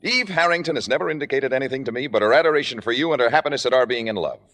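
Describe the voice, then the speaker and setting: A man's voice: mid-range voice, lively intonation, rapid-fire, a bit bright, long reverb tail, natural voice. An actor playing a forthright, exasperated male lover with an emphatic, articulate delivery in a vintage radio drama. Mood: angry, exasperated. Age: 30s. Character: exasperated, forthright man scolding his lover. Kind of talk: 1940s-50s radio acting.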